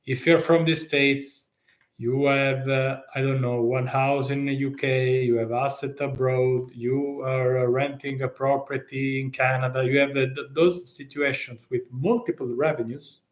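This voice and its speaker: A man's voice: medium pitch, fairly even intonation. A man with an Italian accent talks through a live stream, stopping and restarting often and tossing out rhetorical questions. His voice sits in a middle register. The room around him rings with a noticeable echo. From Italy. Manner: halting, many fillers and restarts, rising rhetorical questions. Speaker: tax consultant.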